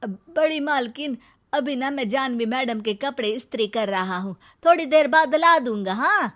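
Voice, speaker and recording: A woman's voice: sits high, expressive, normal speed, a bit bright, a little hiss or hum, voice pushed up. A woman voicing a busy male household servant putting off his mistress in a Hindi moral story cartoon. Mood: harried.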